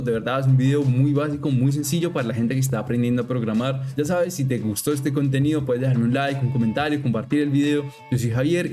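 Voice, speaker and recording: A young adult man, a software engineer, casually talking through code step by step in a screen-recorded programming tutorial. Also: medium pitch. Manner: casual step-by-step explaining, thinking aloud.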